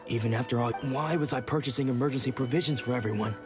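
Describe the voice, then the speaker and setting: Brooding, formal swordsman played by a man, middling-pitched male voice, game drama with music behind. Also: fast talker, natural voice. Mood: puzzled. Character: troubled, humble swordsman who has lost his memories. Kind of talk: English video game voice acting.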